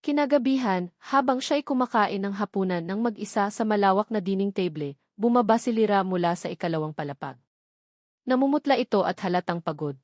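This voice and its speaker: A woman narrates a scripted romance drama. Her voice sits at a middle pitch. The recording is very dry, with hardly any room sound. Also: animated, a little fast, no echo.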